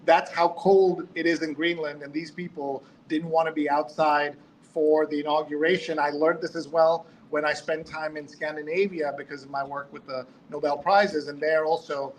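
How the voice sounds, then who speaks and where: A man's voice: fairly high pitch, level tone, moderate pace, few pauses, a little hiss or hum, rough recording. A man hosting a live talk show in a casual, chatty way, drifting into asides and reading out viewer comments.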